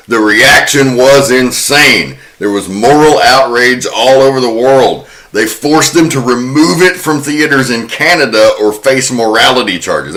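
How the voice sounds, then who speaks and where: A man's voice: mid-range voice, sharp tone. A man hosting a podcast, giving prepared commentary on film history. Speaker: podcast host.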